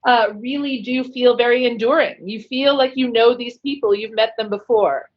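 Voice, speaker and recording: A woman's voice: on the high side, normal speed, dull audio. A woman interviewer speaking in an articulate, reflective way. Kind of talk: conversational interview.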